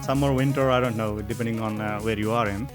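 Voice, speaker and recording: A man hosting a podcast, loose and joking, with halting restarts. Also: on the low side, fairly even intonation, music in the background. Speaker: podcast host. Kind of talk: casual podcast chat.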